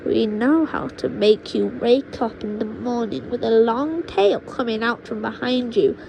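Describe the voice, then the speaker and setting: A woman voicing a sinister, witchy old grandmother in a storybook, menacing and secretive, with a drawn-out delivery. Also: vocal fry, a little mellow. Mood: sinister boasting. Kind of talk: storybook character voice in an audiobook reading. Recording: noisy low-quality home microphone.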